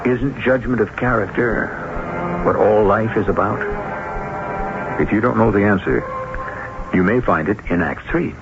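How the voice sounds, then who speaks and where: A male radio host with a low, expressive voice narrates dramatically, posing questions in a deliberate way. Loud music sits behind him on a tinny old recording. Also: gravelly. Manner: rhetorical, deliberate.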